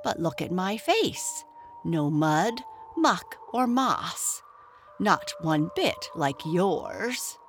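A woman's voice: on the low side, sing-song, very slow, faint music behind, heavily acted. A woman voicing a posh, fussy little grub creature in a storybook. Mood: prim.

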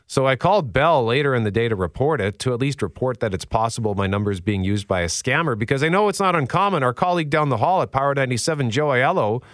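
Deep-voiced man hosting a radio show. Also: fast talker, few pauses, no echo, studio quality. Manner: storytelling, lively and exasperated. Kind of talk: casual morning radio chat. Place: radio studio.

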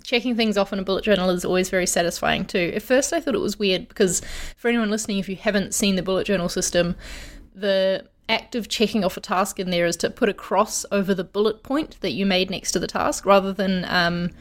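A woman's voice: mid-range voice, steady tone, brisk, few pauses. A woman co-hosting a casual podcast, friendly and enthusiastic, explaining things step by step. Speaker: podcast co-host.

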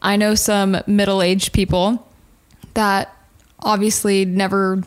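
A young woman, a pastor, appearing as a podcast guest and making calm, reasoned points in casual chat. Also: medium pitch, takes it slowly.

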